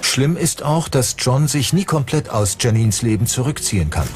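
A man's voice: medium pitch, lively intonation, normal speed. Male true-crime narrator over music. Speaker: documentary narrator. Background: music behind the voice.

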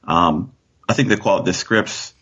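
A man with a fairly low, husky voice talks casually as a guest on a discussion show. He feels his way through his points and often checks for agreement. The audio is a little dull. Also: a little slow, fairly bright tone. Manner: tentative, often seeking agreement with "right?". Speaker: guest author.

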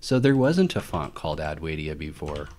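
A man with a low-pitched voice talks through what is on his screen. He is casual and unscripted, rambling a little and thinking aloud like a hobbyist video host. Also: sing-song, moderate pace, darkish tone.

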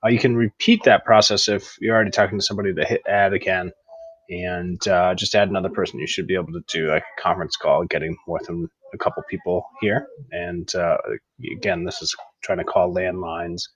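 A middle-aged man giving a casual screen tutorial in a halting, informal way, on an earbud microphone at home. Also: sits low, breathy edge, rough recording. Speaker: tutorial presenter, educator. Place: room at home, indoors. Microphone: earbud headset microphone.

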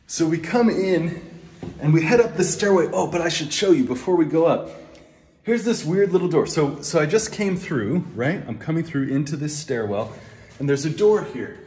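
Man in his thirties, minister, slightly high voice, relaxed walking tour, phone at arm's length. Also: normal speed, slight background noise. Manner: chatty, relaxed tour-guide talk while walking. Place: old stone church building, indoors and on a roof. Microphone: phone held at arm's length.

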